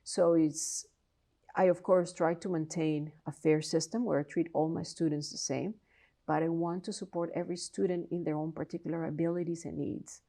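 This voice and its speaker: A woman professor from Colombia speaks with a Colombian Spanish accent. Her voice sits a little low and carries a gravelly edge. She explains things earnestly, often restarting her sentences. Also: fairly even intonation, normal speed, warm tone. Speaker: professor and researcher, interview guest. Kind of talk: interview answers.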